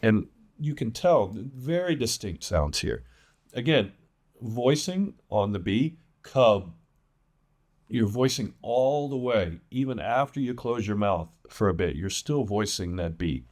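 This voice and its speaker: A man giving an English pronunciation lesson as a teacher, enunciating example words with deliberate emphasis. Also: on the low side, expressive, darkish tone. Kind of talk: instructional lesson with demonstrated words.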